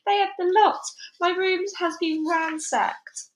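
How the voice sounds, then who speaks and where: A woman's voice: big pitch swings, normal speed, fairly bright tone, reverberant, slight background noise, exaggerated voice. Woman doing a shrieking duchess. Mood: hysterical. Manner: screaming, shrill and melodramatic. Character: shrieking middle-aged duchess, former opera singer.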